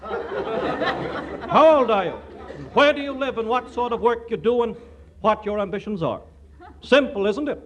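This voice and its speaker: Very high-pitched radio pitchman. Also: lively intonation, measured pace, long pauses, a bit bright, some chatter behind, full character voice. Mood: gushing, salesy. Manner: theatrical, gushing. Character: showy male radio pitchman plugging a contest. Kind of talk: 1940s radio comedy announcing.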